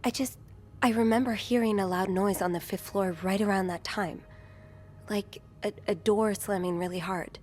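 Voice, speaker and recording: An actress playing an earnest, uneasy young woman working as a hotel maid in a video game, speaking softly and a little hesitantly. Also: medium pitch, fairly even intonation, normal speed, a little hiss or hum, close to an everyday voice. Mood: pensive, curious. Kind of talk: video game dialogue acting.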